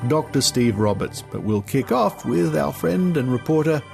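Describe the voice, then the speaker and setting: A man's voice: fairly high pitch, animated, normal speed, gravelly, a little mellow, faint music behind. An Australian man hosting a podcast in a chatty, conversational way, given to rambling asides. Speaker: podcast host.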